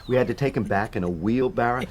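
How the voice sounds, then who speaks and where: An actor playing an easygoing, reassuring war-veteran friend in a radio drama. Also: male voice, medium pitch, fast, slight background noise, close to an everyday voice. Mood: reassuring, amused.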